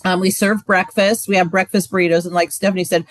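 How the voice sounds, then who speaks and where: A woman's voice: lowish voice, flat delivery, quick pace, no echo. A woman co-leading a marriage ministry, answering questions casually and chattily, with many "like" and "um" fillers. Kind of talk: training session talk.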